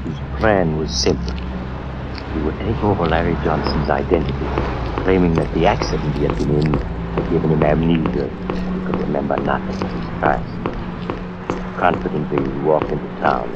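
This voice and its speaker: An actor playing a sly, darkly amused doctor who narrates macabre tales as a radio horror host. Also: male voice, a bass voice, moderate pace. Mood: ominous. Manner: sly, macabre, mock-sympathetic. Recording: muffled, very noisy old radio recording.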